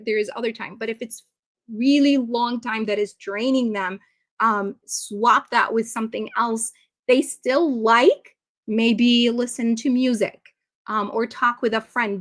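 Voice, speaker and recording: A fairly high-voiced woman from Iraq gives a live webinar for parents over a computer mic. She talks in a conversational, encouraging way, pausing often on ums and uhs. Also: fairly bright tone. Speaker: webinar presenter.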